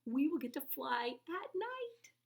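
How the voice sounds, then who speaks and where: A woman voicing an earnest, excitable young girl bat with a squeaky, exclaiming delivery in a children's storybook. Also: takes it slowly, gravelly, heavily acted. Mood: excited. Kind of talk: children's storybook read-aloud.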